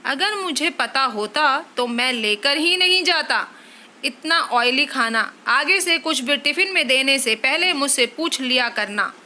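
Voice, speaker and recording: A woman's voice: high voice, unhurried. A woman voicing an irritable, scolding young husband. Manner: harsh, complaining, loud.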